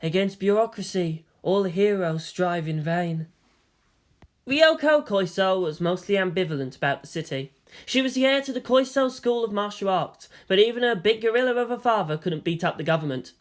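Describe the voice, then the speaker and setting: Voice reading fan fiction aloud, steady even storytelling, medium pitch. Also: brisk. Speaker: narrator.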